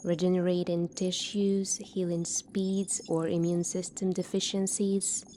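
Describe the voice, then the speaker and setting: A soft-spoken woman doing an ASMR roleplay as a calm military doctor, asking questions in a measured way. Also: fairly low pitch, slight background noise. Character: calm seasoned future military doctor examining a space recruit.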